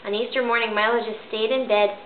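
A woman in her thirties reads a picture book aloud at home, lively and expressive. Her voice sits a little high. The sound is thin and phone-like, with a roomy echo in a home bedroom. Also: brisk, slight background noise. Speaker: storybook reader. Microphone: camera in front.

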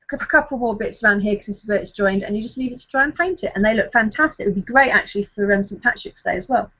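A woman's voice: mid-range voice, fast, bright, forceful tone. A woman giving a casual craft demonstration over a video call. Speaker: craft blogger. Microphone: webcam video call. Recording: dull lo-fi video call audio.

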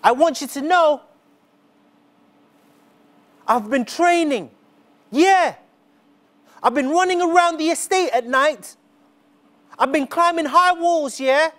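A lonely, high-voiced young boy. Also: a bit bright, heavily acted. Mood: eager. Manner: earnest, slow and deliberate, rising pitch on key words. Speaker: stage performer. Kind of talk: theatrical spoken-word monologue. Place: dark smoky theatre stage.

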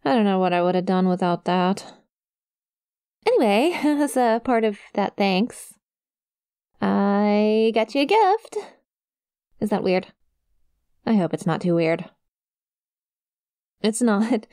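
A woman's voice: medium pitch, expressive, slow pace, pauses often, darkish tone, clean tone, no room sound, clean studio sound. A woman playing a friendly, awkward young succubus demon in an intimate ASMR roleplay, with a shy, casual, hesitant manner. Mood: shyly excited. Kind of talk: ASMR roleplay, intimate one-sided conversation to the listener.

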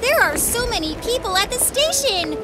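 Super high giggly little bus kid, lively sing-song. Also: female voice, moderate pace, very noisy. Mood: cheerful. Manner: excited, giggly. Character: cheerful little male blue bus. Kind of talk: cartoon voice acting.

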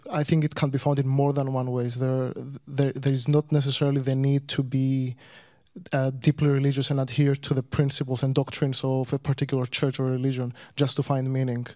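A young man, a native Greek speaker, telling his own story in English to a guest, hesitant with many pauses and restarts. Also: mid-range voice, level tone, dark tone. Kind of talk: personal story told to a guest.

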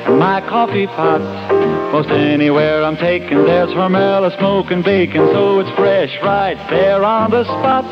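A man's voice: sits high, unhurried, dull audio. A man delivering rhymed lines in a country-style 1960 radio commercial. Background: country music.

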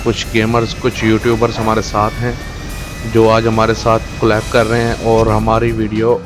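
Male gaming host, Pakistani accent, halting casual delivery, very flat intonation, loud music underneath. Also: on the low side. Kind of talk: casual gameplay commentary.

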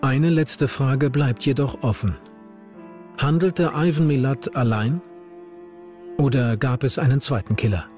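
A man's voice: mid-range voice, measured pace, pauses often, darkish tone, soft background music. A man reading scripted narration for a true-crime documentary.

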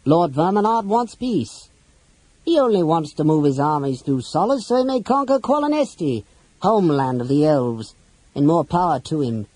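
A man voicing a pompous high official making a speech in a fantasy audiobook. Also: lively intonation, moderate pace, a little mellow, husky, no room sound, rough recording. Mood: self-righteous. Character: pompous male high official addressing townspeople.

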